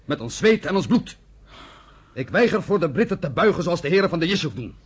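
A defiant underground fighter in a radio drama. His husky voice sits fairly high and swings widely. He declaims with bitter scorn and burning conviction. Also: big pitch swings, a little fast. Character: bitter, defiant militant younger brother, leader of armed underground. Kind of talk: Dutch radio play acting.

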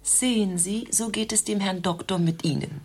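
An actress playing a stern, self-righteous doctor's receptionist who lectures officiously in a satirical radio play. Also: female voice, medium pitch, normal speed, a little mellow, natural voice. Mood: lecturing, officious.

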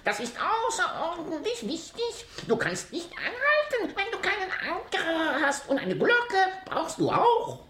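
A woman's voice: extremely high pitch, huge pitch swings, a little slow, few pauses, a bit bright, echoey room, a little hiss or hum, exaggerated voice. A woman playing a bossy, knowing parrot who lectures insistently in a children's radio play. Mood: bossy, instructive. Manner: instructive, insistent. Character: bossy, knowing female parrot, seasoned seafarer.

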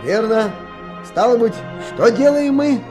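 Radio drama: fatherly backwoods rafter, high-pitched, very animated, colloquial, proud, music behind. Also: unhurried. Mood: proud, instructive. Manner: folksy, musing, colloquial. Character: folksy, proud Siberian timber-rafter, fatherly philosopher.

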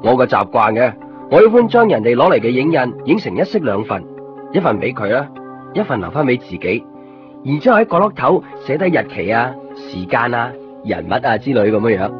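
A man's voice: medium pitch, very animated, fairly quick, muffled recording, close to an everyday voice. A man acting an observant, introspective copy-shop worker who narrates a radio drama. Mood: reflective. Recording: band-limited 1990s radio recording, music behind. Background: clear background music.

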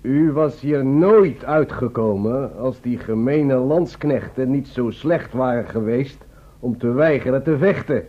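An actor playing a sullen, cold castle lord, formal and curt, in a radio drama. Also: male voice, medium pitch, unhurried, slightly rough. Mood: bitter. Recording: muffled, lo-fi radio recording.

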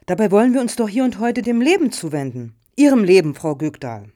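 An actress as a conciliatory woman steering a panel in a radio satire. She is polite and flattering, with a mellow, medium-pitched voice in a very dry studio sound. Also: a little fast, no room sound, natural voice. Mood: soothing, flattering. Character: conciliatory, flattering female foundation panel member. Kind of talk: satirical radio play dialogue.

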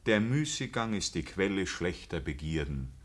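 Low-voiced, stern village priest. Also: male voice, big pitch swings. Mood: cool, disapproving. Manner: preachy, formal, measured, insistent. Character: stern, pious, unbending young-in-office village priest. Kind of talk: radio play acting, clerical declamation.

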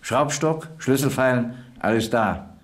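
An actor playing a wary old boiler-room worker in a German radio crime drama, answering tersely and grudgingly. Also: male voice, medium pitch, unhurried, close to an everyday voice. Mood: wary, laconic. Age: older.

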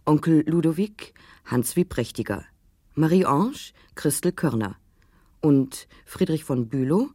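A woman announcer with a low, lively-pitched voice reads scripted credits on the radio. The sound is close and dry. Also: slow pace, husky, no echo.

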